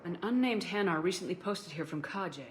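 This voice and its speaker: A woman's voice: on the low side, expressive, moderate pace, a little hiss or hum, natural voice. A woman playing a businesslike, persuasive military agent in a video game.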